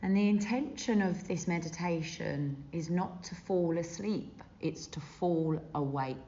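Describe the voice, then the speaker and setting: A woman leading a guided meditation as a teacher, gentle and soothing, with unhurried phrases and long pauses. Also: on the low side. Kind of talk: guided body scan meditation. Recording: dull, muffled lo-fi sound.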